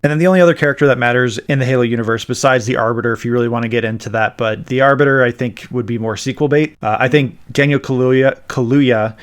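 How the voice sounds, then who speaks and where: A man's voice: mid-range voice, quick pace, hardly any pauses, clean tone. A man hosting a podcast, talking casually and explaining his ideas about pop culture in a conversational way. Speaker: podcast host.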